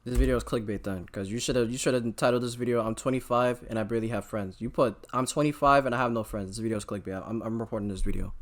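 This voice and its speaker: A man hosting a reaction video, teasing and joking in rapid-fire banter. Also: mid-range voice, steady tone. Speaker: reaction host.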